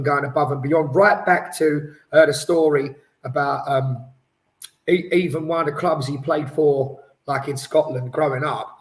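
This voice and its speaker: Lively, rambling male podcast co-host. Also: fairly high pitch. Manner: animated, rambling with restarts. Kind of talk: casual chat. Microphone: headphones on, studio mic.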